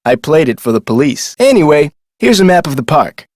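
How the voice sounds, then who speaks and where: Video game park guard, adult male: eager, folksy talker, slightly high-pitched, very lively inflection. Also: brisk, no echo, clean studio sound. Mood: chatty, casual. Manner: folksy, colloquial, animated delivery. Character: chatty, eager amusement park security guard, adult man. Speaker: security guard character. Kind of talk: scripted video game character dialogue.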